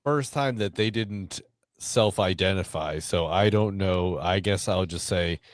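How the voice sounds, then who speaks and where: Deep-voiced man making deadpan wisecracks. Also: a little slow, dry recording, studio quality. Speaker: podcast co-host. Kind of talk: casual comedic banter.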